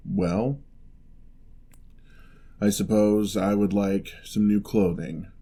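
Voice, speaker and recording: A man playing a lonely, gentle male giant in a fantasy ASMR roleplay, speaking in a measured, wry, slightly formal way. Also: on the low side, creaky, slightly rough, exaggerated voice. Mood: matter-of-fact, bargaining.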